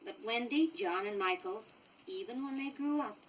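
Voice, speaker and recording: An American woman reading a children's story from a script, expressive and clearly paced for young listeners, on a 1960s vinyl record. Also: high voice, normal speed, distant mic, slight background noise, low-quality recording. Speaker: storybook reader.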